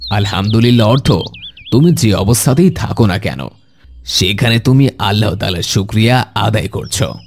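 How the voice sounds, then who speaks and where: A man playing a kindly, pious elderly grandfather who gently admonishes in a measured, instructive way in an Islamic cartoon. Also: fairly low pitch, slight background noise, natural voice. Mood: gently admonishing. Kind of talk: Islamic moral cartoon dialogue.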